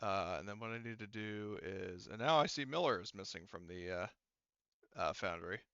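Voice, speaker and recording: A man running a tabletop roleplaying game as game master, narrating off the cuff in a casual, halting, rambling way. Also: lowish voice, sharp tone, clear, resonant tone, no echo, muffled recording.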